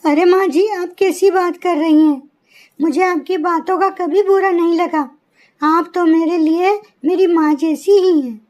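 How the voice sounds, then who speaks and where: A woman voicing a gentle, dutiful young daughter-in-law bird in a cartoon story, speaking politely and earnestly. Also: very high-pitched, fairly even intonation, a little slow. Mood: caring, earnest. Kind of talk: animated moral story acting.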